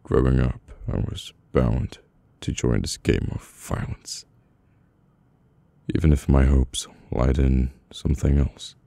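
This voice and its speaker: A man with a British accent playing a brooding, domineering, burdened mafia boss in an intimate, halting ASMR audio roleplay. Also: steady tone, dark tone, no room sound, full character voice. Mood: bitter, somber. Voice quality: very deep, gravelly. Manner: slow, halting, weary menace.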